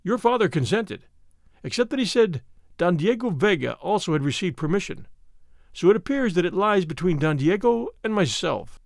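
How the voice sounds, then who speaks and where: A man's voice: high voice, sing-song, normal speed, vocal fry, no room sound, clean studio sound, heavily acted. A man voicing an arrogant, pushy army captain in an audiobook, suave and menacing, with a commanding, sneering manner.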